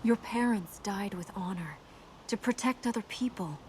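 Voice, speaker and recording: A woman playing a dutiful, earnest young princess in a video game, composed and gently teasing in manner. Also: medium pitch, normal speed, close to an everyday voice. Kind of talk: naturalistic video game dialogue. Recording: game ambience noise behind voice.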